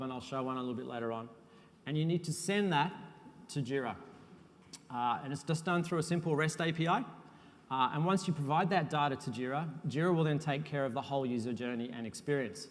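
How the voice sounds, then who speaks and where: A man giving a technical conference talk as a software architect, relaxed and self-deprecating, with frequent uhs. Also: mid-range voice. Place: conference hall. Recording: long hall reverb.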